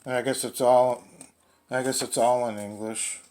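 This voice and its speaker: A man giving casual, unscripted unboxing commentary as a gadget reviewer, halting and thinking aloud as he goes. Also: mid-range voice, steady tone, darkish tone, slight background noise.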